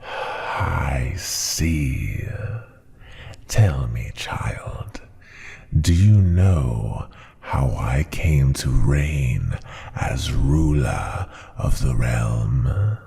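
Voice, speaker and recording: A man's voice: lively intonation, extremely slow, dark tone, heavily acted. A man voicing an ancient, tyrannical dragon ruler who scorns humans, speaking in a pompous, menacing growl for an ASMR roleplay. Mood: smug. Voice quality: deep gravelly growl, breathy at times. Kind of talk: ASMR roleplay, slow theatrical monologue.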